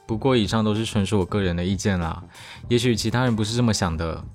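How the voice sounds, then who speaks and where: A man's voice: fairly low pitch, fast talker, faint music behind, lightly acted. A Taiwanese man acting a teasing but supportive young army veteran in a radio drama. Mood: understanding. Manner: teasing, reassuring, explanatory.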